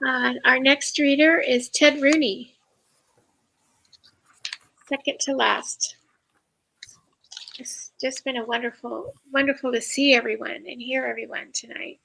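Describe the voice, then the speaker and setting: A woman's voice: high voice, steady tone, a little slow, vocal fry, pauses often, bright, forceful tone, poor audio. A woman hosting a literary reading, introducing and thanking the readers. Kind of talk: event introductions.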